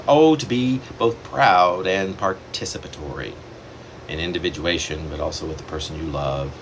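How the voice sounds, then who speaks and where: Deep-voiced man reading his poem. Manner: measured, reflective delivery with deliberate pauses. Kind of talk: poetry reading. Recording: steady air-conditioner hum behind the voice.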